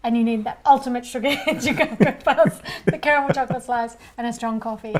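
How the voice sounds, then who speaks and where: An Australian woman chatting as author and co-host, friendly and quick to laugh at herself. Her voice sits a little on the high side. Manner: friendly, self-deprecating, laughs easily. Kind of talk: casual chat.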